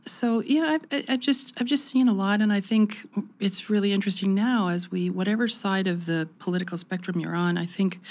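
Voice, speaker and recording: Gravelly-voiced woman in her fifties. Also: fairly low pitch. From United States. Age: 50s. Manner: reflective, animated, many restarts. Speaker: interview guest. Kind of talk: conversational interview.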